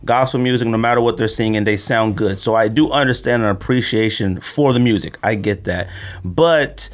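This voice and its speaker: A man's voice: fairly low pitch, moderate pace. A man hosting a podcast in casual, slangy, conversational talk. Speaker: podcast host. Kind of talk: casual sneaker podcast chat.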